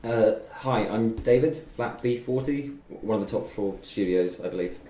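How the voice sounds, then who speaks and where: A man's voice: fairly low pitch, moderate pace, warm tone, natural voice. A young man playing an awkward, stressed new student, hesitant and polite, at a student table read. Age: young adult. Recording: distant, noisy, echoey room.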